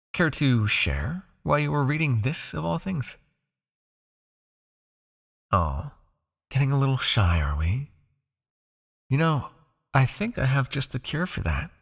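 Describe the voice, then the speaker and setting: Creaky-voiced, possessive male vampire hypnotist, acted by a man. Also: mid-range voice, exaggerated voice. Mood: playful, coaxing. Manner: soft, coaxing, teasing, commanding. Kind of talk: intimate ASMR hypnosis roleplay.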